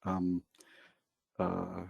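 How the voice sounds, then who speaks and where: A New Zealand man with a low, husky voice talks loosely through a trading webinar, pausing often with fillers. His voice is close and dry, with no room around it. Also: no echo, muffled recording. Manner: relaxed, hesitant, many fillers. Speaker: webinar co-host. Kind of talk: casual webinar talk.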